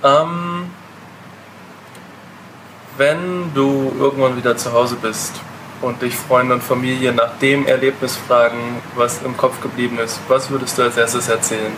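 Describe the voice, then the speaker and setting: A man's voice: medium pitch, little pitch movement, measured pace. A man hosts a casual travel podcast, asking the guest questions and answering with short words of agreement. The recording has plain background noise and an air-conditioning hum. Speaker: podcast host, backpacker. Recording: noisy recording.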